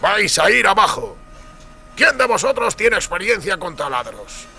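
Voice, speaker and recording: Guy doing a gruff foreman from an audio drama, castilian accent, loud raised voice, music behind. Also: high-pitched, big pitch swings, a little slow, pitched above the performer's own voice, full character voice. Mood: commanding. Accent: Castilian Spanish. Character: gruff factory foreman giving workers orders.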